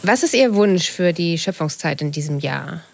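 A woman pastor hosting a church radio interview, asking clear, composed, scripted questions. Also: lowish voice, expressive, moderate pace.

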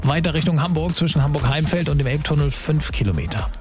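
Higher-voiced male radio host over music. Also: expressive, quick pace. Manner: chatty, informal. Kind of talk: casual radio presenting, weather and traffic. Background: music bed.

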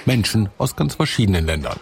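A man reading scripted documentary narration in a calm, measured voice-over. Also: lowish voice, vocal fry, slight background noise.

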